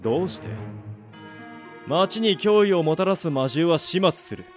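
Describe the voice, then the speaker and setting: A man playing a formal, measured, authoritative prison warden in a radio drama. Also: a little high, animated, faint music behind. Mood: cool, stern. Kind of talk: amateur radio drama acting.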